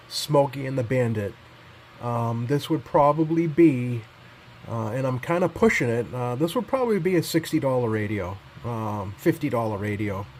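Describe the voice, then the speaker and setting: A man giving casual, unscripted commentary as a repair technician, pausing often with many ums as he goes. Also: mid-range voice, a little mellow, slight background noise. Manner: halting, hesitant delivery heavy with ums and pauses. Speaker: repair technician presenting his bench work.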